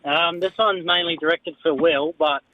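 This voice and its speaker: A man records a casual listener message full of swearing. His voice is fairly high and very even in pitch, with a dull, lo-fi sound. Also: moderate pace.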